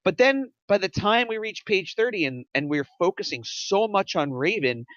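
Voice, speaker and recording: A man's voice: high-pitched, animated, normal speed, a bit bright, no room sound, dull audio. A man in a casual script critique discussion, thinking aloud as he offers tentative suggestions. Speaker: script table read panelist.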